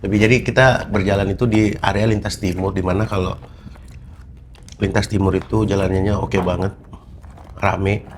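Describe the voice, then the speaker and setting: A young man with a lowish voice chats in a relaxed, rambling way. He drifts from thought to thought with plenty of fillers, over a faint hum of ambience. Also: moderate pace, long pauses. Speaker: vlog host. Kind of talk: casual chat.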